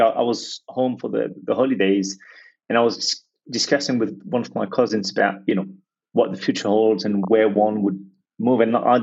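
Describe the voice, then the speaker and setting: A man's voice: on the low side, vocal fry, darkish tone, slightly rough, highs cut off. A man from France, talking in a reflective, hesitant way as a podcast guest, with many restarts and fillers. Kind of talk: reflective conversational interview.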